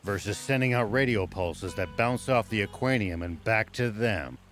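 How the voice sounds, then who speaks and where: An actor playing a grave, level-headed old soldier in a video game, speaking in a measured, serious way. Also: male voice, on the low side, lively intonation, a bit bright, soft background music. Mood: analytical.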